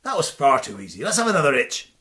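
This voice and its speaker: A man's voice: medium pitch, sing-song, a little slow. A man doing cheeky young Cornish wrestler Tom. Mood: taunting. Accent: Cornish dialect. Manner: cheeky, taunting.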